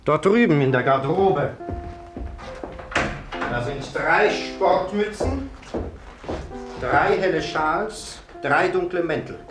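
An actor playing a police sergeant who questions people insistently in a 1950s radio mystery. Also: male voice, a little high, lively intonation, takes it slowly, long pauses. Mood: grave, probing. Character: probing police sergeant investigating a murder. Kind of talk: 1950s German-language radio mystery acting. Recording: 1950s radio recording with music.

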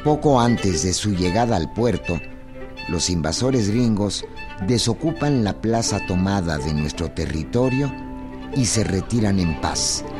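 A man giving measured, formal narration for a scripted historical radio drama. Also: on the low side, animated. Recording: music behind the voice.

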